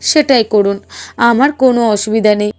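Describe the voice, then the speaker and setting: A woman voicing a gentle, forgiving young daughter-in-law in a cartoon, speaking in a meek, soothing, deferential way. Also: fairly high pitch, a little fast, close to an everyday voice. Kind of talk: Bengali cartoon voice acting.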